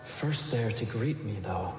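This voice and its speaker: A man performing as one of a chorus of narrators, telling an eerie tale with measured, dramatic phrasing. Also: lowish voice, lively intonation. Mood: ominous. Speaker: cast reader in a live radio performance. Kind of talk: theatrical literary story narration passed between several readers. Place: large hall stage, live radio performance. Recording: echoey hall, loud music underneath. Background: loud music.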